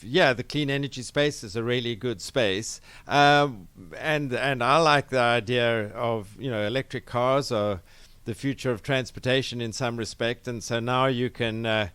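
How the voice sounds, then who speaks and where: A man's voice: mid-range voice, fairly bright tone, clear, resonant tone, no room sound. A middle-aged man from South Africa with a South African accent, hosting a business radio interview and asking conversational follow-up questions. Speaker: radio show host.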